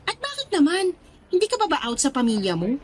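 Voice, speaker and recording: Woman playing a high-voiced, chatty young Filipina friend. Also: quick pace, a little hiss or hum. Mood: incredulous. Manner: animated, reacting with exclamations and questions. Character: chatty, curious, teasing young female friend. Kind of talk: radio drama dialogue. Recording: music playing under the voice.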